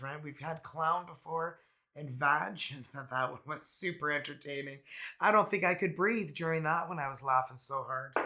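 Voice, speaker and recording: A man gives a relaxed, chatty tarot reading and laughs easily as he goes. His voice sits a little high and sounds bright and forward. A cold has left it slightly raspy. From Canada. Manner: laid-back, chatty, laughs often. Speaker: tarot reader.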